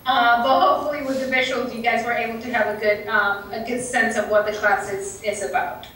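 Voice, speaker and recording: A woman from Puerto Rico with a thick Puerto Rican Spanish accent, explaining a training course at a conference in an earnest, halting way with many fillers. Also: fairly high pitch, fairly even intonation, bright, forceful tone, slight background noise. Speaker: presenter. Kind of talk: conference presentation. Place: conference room. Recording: echoey room, distant mic.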